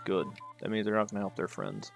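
A man's voice: on the low side, little pitch movement, quick pace, gravelly, music in the background. A man hosting his own gaming channel, thinking aloud while he plays, rambling and tossing in sarcastic asides to himself. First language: English. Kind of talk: casual strategy-game commentary.

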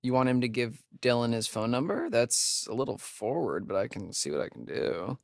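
An actor playing a joking, sardonic young camp counselor in a video game, with an American accent and a laid-back, slangy way of talking. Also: male voice, medium pitch, very animated, normal speed, creaky, no room sound, studio quality. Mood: playful, wisecracking. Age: young adult. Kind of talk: naturalistic video game acting.

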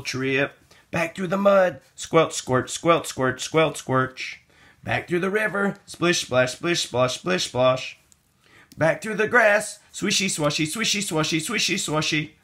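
A man reading a children's picture book aloud in an expressive, sing-song way with drawn-out words. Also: on the high side. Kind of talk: animated children's read-aloud.

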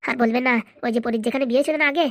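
Woman doing a hurried young guy, high voice, machine-gun pace, dry. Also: no room sound, lightly acted. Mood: urgent. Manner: urgent, hurried. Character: young man, urgent and protective. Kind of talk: cartoon voice acting.

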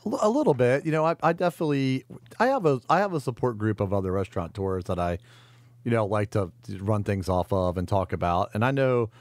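A man being interviewed as a restaurateur guest, talking casually in halting phrases with repeated words. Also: fairly low pitch, animated, a little mellow, no echo.

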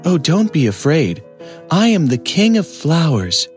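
A man voicing a gentle, courtly flower king in a children's cartoon. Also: a little high, very animated, measured pace. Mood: gallant. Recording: faint music behind the voice.

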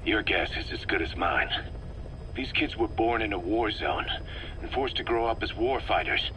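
An actor playing an earnest, embittered middle-aged military second-in-command in a video game, talking tersely. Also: male voice, fairly low pitch, moderate pace, sharp tone. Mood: grim concern. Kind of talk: dramatic video game monologue. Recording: faintly noisy cassette tape recording.

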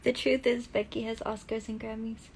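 A woman's voice: on the high side, little pitch movement, slight background noise, low-quality recording. A young actress casually answering fan comments on a phone live stream from home, stumbling through restarts as she talks. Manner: reads comments softly, answers with halting restarts.

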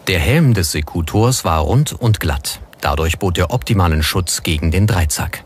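A man's voice: sits low, animated, moderate pace, slight background noise. A man reading scripted narration as the narrator of a documentary. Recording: music under the voice. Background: background music.

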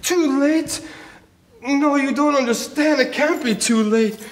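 A man's voice: extremely high pitch, slow. A middle-aged man on a theatre stage playing a desperate, drugged military messenger, agitated and melodramatic. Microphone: distant camera. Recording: echoey stage from afar, noisy, clicks.

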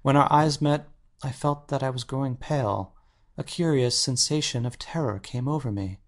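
A man's voice: mid-range voice, moderate pace, natural voice. Actor as an earnest, intense male painter. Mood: fervent, troubled. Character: earnest, anxious male painter confessing his obsession. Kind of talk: dramatic audiobook acting.

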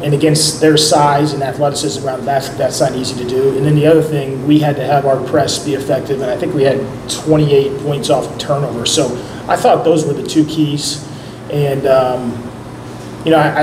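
A middle-aged man, a head coach, answering post-game questions in a measured way at a press conference table mic. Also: medium pitch, little pitch movement. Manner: measured, repeats words when starting sentences. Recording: echoey room with background noise.

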